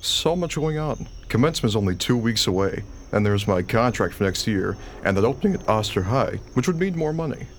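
An actor playing a young schoolteacher husband in a radio play, anxious, dutiful and a little exasperated. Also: male voice, fairly low pitch, lively intonation, fairly quick, few pauses. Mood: harried. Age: young adult. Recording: faint background noise.

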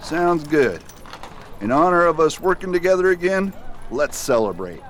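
A determined frontier marshal, played by a man in a Western radio play. His mid-range voice swings widely in pitch, commanding in a chase and earnest among friends. A faint outdoor hum runs underneath. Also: big pitch swings, moderate pace. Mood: warm. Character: steady, determined male US marshal. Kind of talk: amateur radio drama acting.

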